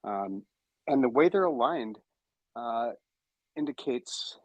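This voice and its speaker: A man in a casual podcast discussion, explaining thoughtfully with pauses. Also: medium pitch, expressive, no room sound.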